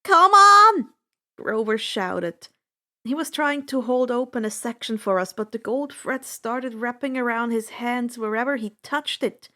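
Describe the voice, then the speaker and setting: High-voiced, unhurried woman reading a story. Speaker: audiobook-style fan fiction reader. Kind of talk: dramatic first-person story narration.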